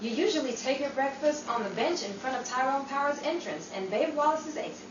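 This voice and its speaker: A woman's voice: high-pitched, lively intonation, fairly quick, distant mic, dull audio. A woman acting a genial, kindly character in a 1940s-style radio play, recorded in a noisy, echoey room. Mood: genial, teasing.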